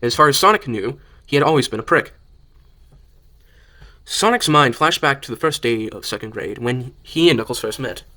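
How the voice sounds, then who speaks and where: A man reading a scripted story as narrator, haltingly, often stumbling and rereading phrases. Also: mid-range voice, lively intonation, pushed and bright. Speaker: narrator of an amateur audio drama.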